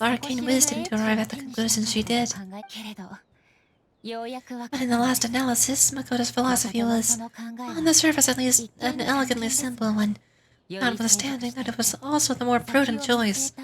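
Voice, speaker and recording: Woman voicing a high-pitched, dignified female ruler. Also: steady tone, a crowd in the background, close to an everyday voice, rough recording. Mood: solemn, thoughtful. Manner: formal, measured, occasional misreadings. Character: calm, dignified female ruler, grave and reflective. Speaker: VTuber streamer. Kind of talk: reading game dialogue aloud.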